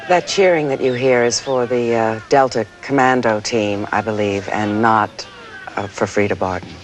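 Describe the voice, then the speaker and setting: A man's voice: fairly low pitch, fairly even intonation, lightly acted. Solemn actor as male TV news commentator. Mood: explanatory. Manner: earnest, deliberate.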